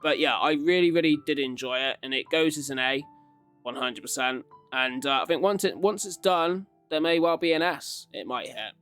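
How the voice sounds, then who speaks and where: A man's voice: a little high, moderate pace, fairly bright tone, smooth. A man hosting a casual ranking commentary, talking in a rambling, informal, conversational way. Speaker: YouTube reaction host. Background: background music.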